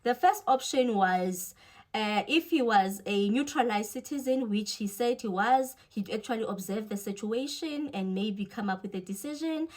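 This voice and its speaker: A woman's voice: a little high, normal speed. A woman from the Eastern Cape with a South African accent, talking casually and giving her opinions as a vlogger, with wry asides.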